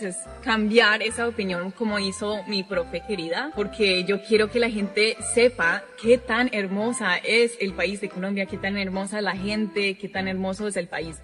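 A woman's voice: mid-range voice, fairly bright tone. A woman from the United States with an American accent chats casually in a reverberant space, with faint music behind. She is a native English speaker and effusive, doubling words for emphasis. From Chicago, United States. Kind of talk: casual personal chat.

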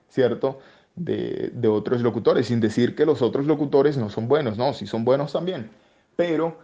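A man from Colombia answering interview questions about voice work, pausing often with fillers and restarts. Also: medium pitch, mellow, highs cut off. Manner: hesitant, many fillers and restarts. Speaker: voice-over artist guest.

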